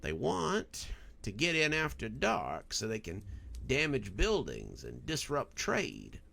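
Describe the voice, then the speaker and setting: A man voicing an earnest, cautious man gravely explaining a problem in an audio story. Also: medium pitch, wildly swooping pitch, slow pace, pushed and bright, heavily acted. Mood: serious, cautious.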